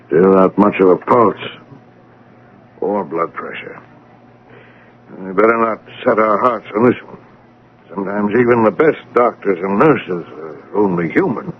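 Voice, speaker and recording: An actor playing a seasoned, world-weary male doctor in a radio drama, with a brisk, sardonic manner. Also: on the low side, expressive. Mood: resigned. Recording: muffled old radio broadcast, faint noise.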